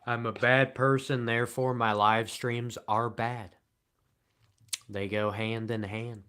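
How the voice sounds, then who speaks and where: A man streaming live and answering the chat in a flat, deadpan, sarcastic way, with long pauses between remarks. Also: medium pitch, clear, resonant tone.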